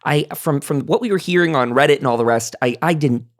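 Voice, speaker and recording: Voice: mid-range voice, fast. A podcast host leading a casual, enthusiastic roundtable about gaming, talking in an effusive, emphatic, rambling way. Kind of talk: casual enthusiastic panel discussion about a video game.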